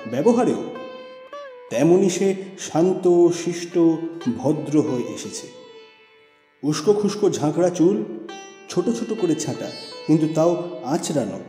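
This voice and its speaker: A man narrating a literary story as an audiobook, with expressive, theatrical delivery. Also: fairly high pitch. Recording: echoey reverberant voice over music.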